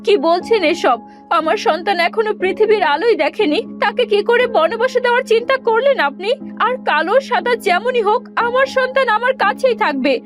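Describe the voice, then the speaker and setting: A woman acts a gentle queen in a cartoon fairy tale. She is an earnest, protective mother, worried and emotional, speaking in a high voice over background music. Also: lively intonation, brisk. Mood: upset. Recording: music behind the voice.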